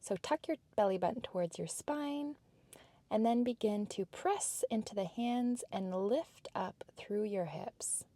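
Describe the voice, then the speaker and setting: Slow, mellow woman guiding a workout. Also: medium pitch, no echo. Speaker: fitness and yoga instructor. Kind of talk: guided workout instruction with relaxation meditation cadence.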